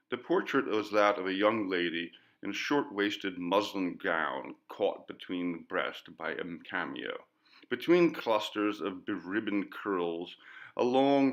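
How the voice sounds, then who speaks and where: Slow, low-voiced man narrating. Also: vocal fry, fairly bright tone. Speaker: audiobook reader. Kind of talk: literary ghost story narration.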